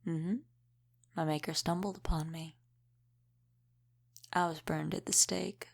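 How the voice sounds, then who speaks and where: Woman acting as an ancient vampire lady, low voice, guarded n wry, talking right to you in an audio rp. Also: creaky. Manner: measured, matter-of-fact, lightly wry. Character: centuries-old female vampire, world-weary, guarded mentor. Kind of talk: scripted audio roleplay, addressed to the listener.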